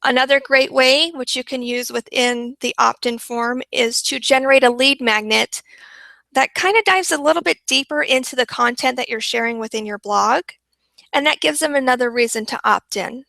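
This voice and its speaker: A woman's voice: high voice, moderate pace, vocal fry, bright, forceful tone, no echo, poor audio. A woman presenting a marketing webinar, upbeat and repeating points for emphasis, her voice worn and going. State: losing her voice.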